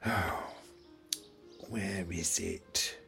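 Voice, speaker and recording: An actor playing a forgetful, childlike, playful elderly husband in an audio play, halting and deliberate in his speech. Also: low-pitched, bright, forceful tone, soft background music, exaggerated voice. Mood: musing, searching. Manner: halting, deliberate, spelling words out slowly.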